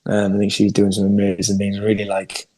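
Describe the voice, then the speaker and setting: A man's voice: on the low side, monotone, quick pace, a little mellow, no room sound. A young English man, a singer-songwriter, chatting in an interview over a video call and often restarting his sentences. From England. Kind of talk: casual interview chat.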